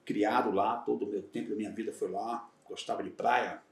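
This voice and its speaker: A man's voice: fairly low pitch, steady tone, a little fast, vocal fry. A man in his fifties from Salvador with a Bahian accent, recounting his career as a guest on a podcast in a reflective, candid way. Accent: Bahian Brazilian Portuguese. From Salvador, Bahia, Brazil. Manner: reflective, candid storytelling with frequent restarts. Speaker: guest, retired federal police agent. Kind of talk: casual podcast interview. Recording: roomy echo, voice heard from a distance.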